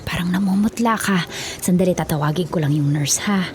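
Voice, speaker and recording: A woman playing a gentle, worried older Filipino grandmother who speaks candidly in a television drama. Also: on the low side, a little fast, close to an everyday voice. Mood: concerned.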